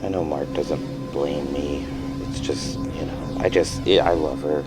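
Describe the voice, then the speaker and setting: Very deep-voiced, hesitant, troubled man. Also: fairly even intonation, loud music behind the voice. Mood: guilty, pained. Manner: halting, stammering, self-conscious. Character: hesitant, guilt-ridden man confiding in his counselor. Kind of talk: naturalistic fiction podcast acting.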